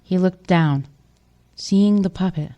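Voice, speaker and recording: A woman's voice: fairly low pitch, takes it slowly, dark tone. A woman giving scripted narration for an audio drama.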